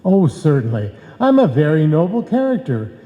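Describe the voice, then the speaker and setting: Man playing a high, droll radio comedy doctor. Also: huge pitch swings, moderate pace. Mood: mock grand. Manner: grandiose, dry irony. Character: droll, sarcastic family doctor trading insults. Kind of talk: old-time radio comedy acting. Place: live stage performance in a hall. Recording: muffled, very echoey live hall recording.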